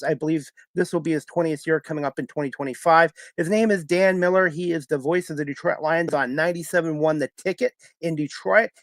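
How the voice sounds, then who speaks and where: A man in his fifties with a slightly high voice hosts a sports talk show. He delivers in a formal, announcer-like way, as if working from a script. The sound is dry and close, with little room on it. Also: dry recording. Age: 50s. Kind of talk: show introduction, sponsor reads and interview questions.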